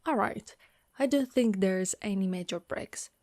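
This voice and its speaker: A woman's voice: medium pitch, measured pace, darkish tone, no echo, studio quality, natural voice. A woman voicing a caring, wry female Dullahan, an undead knight, in a reassuring, teasing, conversational ASMR monster roleplay. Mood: caring, concerned. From Italy. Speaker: voice actress.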